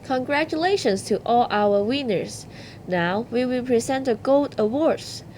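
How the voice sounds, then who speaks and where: A woman's voice: on the high side, lively intonation, unhurried, noisy background. A woman hosting an awards ceremony, reading scripted announcements.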